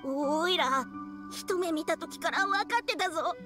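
Boyish kappa, woman actor: high-pitched, plaintive, plain-spoken; game scene with music underneath. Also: a little slow. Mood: knowing. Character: earnest, boyish little kappa water spirit. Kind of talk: Japanese video game voice acting.

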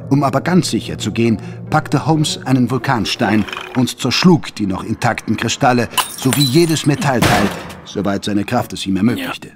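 Male audio drama narrator over music. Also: on the low side.

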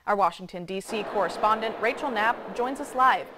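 A woman's voice: mid-range voice, normal speed, background noise. A young woman working as a news anchor, reading scripted news in a TV studio. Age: young adult.

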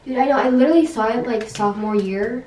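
A woman's voice: on the high side, fast, dark tone, far from the mic, a little hiss or hum. A young woman chatting casually with a friend, hesitant and full of "like". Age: young adult. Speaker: vlogger's friend. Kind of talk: casual chat. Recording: echoey room, voice off-mic.

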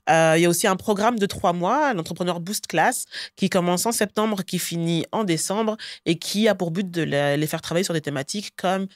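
A woman's voice: low voice, no room sound, studio quality. A woman, a guest in a podcast interview, talking at length with frequent filler sounds. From Belgium. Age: 30s. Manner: lively and talkative, frequent "euh" fillers. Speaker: guest entrepreneur. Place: bright studio set, seated on a sofa. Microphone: studio mic on a boom arm.

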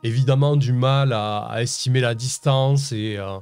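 A man's voice: medium pitch, fairly even intonation, moderate pace, a little mellow, clear, resonant tone, no echo, faint music behind. A man narrating as game master of a tabletop game, hesitant, with many fillers and restarts.

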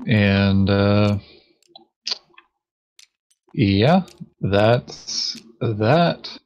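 A man playing a board game, talking casually in terse, deadpan remarks. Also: fairly low pitch, extremely slow. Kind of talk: casual gaming chat. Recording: dull, lo-fi voice chat microphone.

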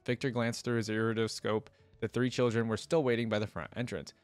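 A man's voice: mid-range voice, little pitch movement, fairly quick, no echo, faint music behind. A young adult man reading a story aloud with casual commentary into a close desk microphone.

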